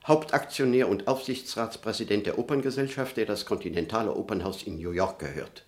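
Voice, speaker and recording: An actor playing a formal, businesslike and impatient corporate emissary in a German radio play. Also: male voice, fairly low pitch, a little fast, natural voice. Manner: formal, precise, businesslike, pressing. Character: brisk, formal, impatient male corporate emissary from New York.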